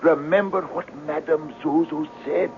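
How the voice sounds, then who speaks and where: An actor playing an anxious, fate-obsessed best friend who coaxes hesitantly and theatrically in a 1970s radio drama. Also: male voice, a little high, steady tone, a little slow, creaky. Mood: earnest, persuading. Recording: muffled, hissy 1970s radio recording.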